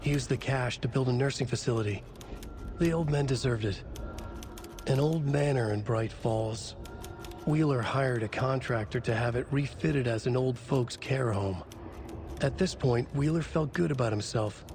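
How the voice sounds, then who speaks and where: A man playing a brooding narrator, telling a dark mystery tale in terse, measured sentences for a game. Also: medium pitch, music in the background, lightly acted. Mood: wry, measured. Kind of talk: scripted literary narration.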